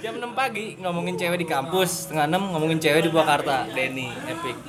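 A man's voice: fairly high pitch, fast, loud music behind the voice. A young man co-hosting a podcast in casual, slangy banter, teasing and mocking with frequent exclamations. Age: young adult. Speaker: podcast co-host.

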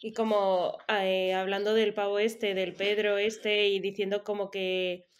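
A woman's voice: medium pitch, flat delivery, a little slow, slight background noise. Chatty woman. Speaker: podcast co-host. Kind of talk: casual chat.

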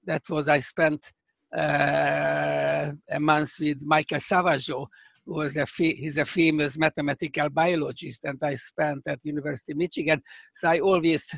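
An older man with a Hungarian accent, speaking as a professor guest in a remote interview, halting and restarting as he searches for words. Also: fairly high pitch, flat delivery, vocal fry, fairly bright tone, no echo.

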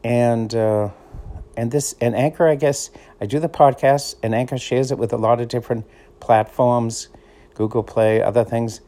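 A man's voice: medium pitch, steady tone, normal speed, dark tone. A middle-aged man with a Boston accent, hosting a casual solo podcast in a meandering, conversational way. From Boston area, USA. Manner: meandering, conversational, easygoing storyteller. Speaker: podcast host.

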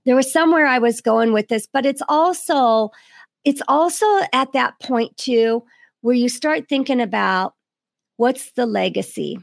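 A woman's voice: on the high side, measured pace, gravelly, dry recording, clean studio sound. Chatty fifty-something woman podcast host. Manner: chatty, lots of "you know". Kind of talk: podcast interview.

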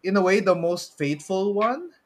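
A man's voice: high voice, lively intonation, normal speed, husky. A man with a Filipino accent co-hosting a podcast in a casual chat, often hesitating and repeating his phrases. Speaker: podcast co-host. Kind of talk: casual movie discussion chat.

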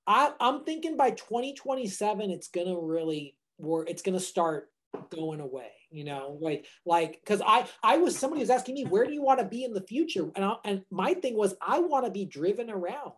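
Man, podcast co-host, casual chat, thinks out loud, lots of restarts. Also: high voice, lively intonation, brisk. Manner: rambling, restarts often, thinks aloud.

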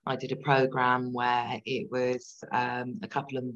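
A woman in her forties, a guest on a podcast interview, talking reflectively with many pauses and restarts. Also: a bass voice, completely flat delivery, fairly bright tone, muffled recording. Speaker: guest entrepreneur.

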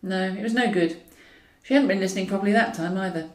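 A woman's voice: medium pitch, fast talker, a little mellow. A woman reading a comic novel as scripted narration, with a wry, dryly ironic way of telling it. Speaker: author reading own novel. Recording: roomy echo, distant from mic.

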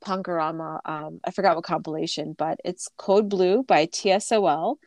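A woman's voice: on the low side, steady tone, moderate pace, darkish tone, no echo. Chatty, stuffy-sounding woman podcast host. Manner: chatty, with frequent fillers and laughter. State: stuffy from a recent illness. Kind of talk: casual chat.

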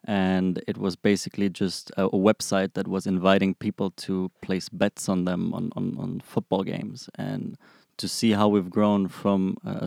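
Interview guest: man in his twenties from Germany, lowish pitch, gravelly fry, conversational. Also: darkish tone, no room sound, studio quality. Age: 20s. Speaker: interview guest, startup employee.

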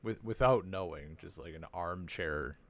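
A man co-hosting a podcast, chatting casually and excitably, with jokes and plenty of swearing. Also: low-pitched, a little slow. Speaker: podcast co-host. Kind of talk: casual unscripted chat about a cartoon series.

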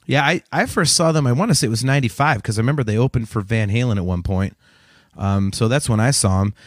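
A man's voice: mid-range voice, quick pace, no echo, studio quality. A man co-hosting a music podcast, chatting in an informal, conversational way. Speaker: podcast co-host. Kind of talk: casual chat about music albums.

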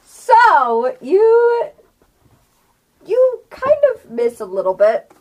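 A woman talking casually as game master of a tabletop role-playing game, in an animated, playful way. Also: high-pitched, very slow. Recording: noisy home podcast recording.

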